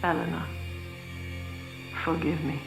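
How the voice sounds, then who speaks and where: Slow, gravelly, bitter villainess. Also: female voice, low voice, flat delivery, heavily acted. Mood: bitter grief. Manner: measured, severe, eloquent. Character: cold, bitter villainess, a disowning mother. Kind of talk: dramatic game voice acting. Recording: roomy echo, music behind.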